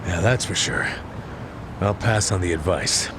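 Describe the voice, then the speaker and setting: A concerned tough guy in a video game drama. His voice is low and his phrasing short and casual, with clear street noise behind him. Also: measured pace. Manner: terse, casual. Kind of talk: video game dub acting.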